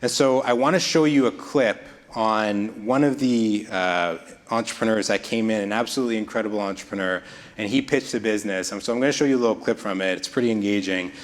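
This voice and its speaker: A man's voice: fairly low pitch, a little fast. A man giving a presentation, explaining investing in an easygoing way with some restarts. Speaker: investment speaker. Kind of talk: presentation talk.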